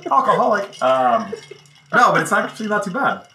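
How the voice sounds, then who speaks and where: A man in his late twenties, born in Belgium, a relaxed podcast guest who laughs often and trails off quietly. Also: high-pitched, normal speed. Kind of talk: casual chat.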